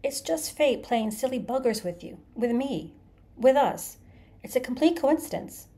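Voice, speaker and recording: A woman's voice: high voice, expressive, measured pace, mellow, voice pushed up. A woman voicing a nervous, flustered man in an audiobook, anxious, apologetic and insistent. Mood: nervous insistence. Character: flustered, nervous man pleading to get away. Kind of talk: dramatized audiobook character.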